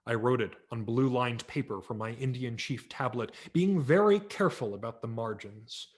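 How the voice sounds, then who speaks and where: A man, a professional audiobook narrator, giving a wry and expressive dramatic reading of a memoir story at a virtual library event, with small character voices. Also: mid-range voice, normal speed.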